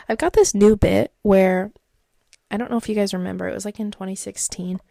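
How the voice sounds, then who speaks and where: A woman hosts a solo podcast, reading advice posts aloud and rambling between them with plenty of fillers. Her voice sounds congested and stuffed up, with a gravelly, creaky texture and a dark, mellow tone. The sound is dry and close to the mic. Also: medium pitch, steady tone, fairly quick, no room sound. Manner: chatty and rambling, many likes and fillers. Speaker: podcast host.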